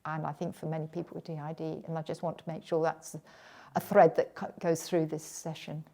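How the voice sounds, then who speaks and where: A middle-aged woman speaks earnestly in an interview about her own lived experience, with halting phrases and false starts. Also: low-pitched, level tone, warm tone. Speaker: interviewee with lived experience of dissociative identity disorder. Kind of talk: personal interview talk.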